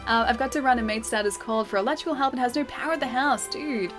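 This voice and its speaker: A woman's voice: fairly high pitch, quick pace, over music. A woman from Western Australia with an Australian accent, chatting casually with viewers while she plays a game, often laughing and answering chat. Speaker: streamer.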